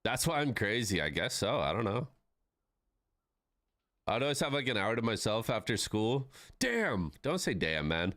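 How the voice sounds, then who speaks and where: A man's voice: fairly low pitch, big pitch swings, moderate pace, a bit bright, no room sound, studio quality. A man in his thirties chatting casually with his live-stream viewers, laid-back and swearing freely. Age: 30s.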